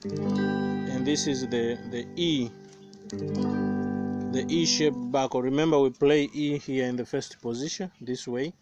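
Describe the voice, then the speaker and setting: A young Tanzanian man whose first language is Swahili, giving a slow, careful guitar lesson outdoors with many pauses and fillers. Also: medium pitch. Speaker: guitar teacher. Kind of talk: guitar tutorial. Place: outdoors by banana plants. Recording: faint guitar playing behind voice.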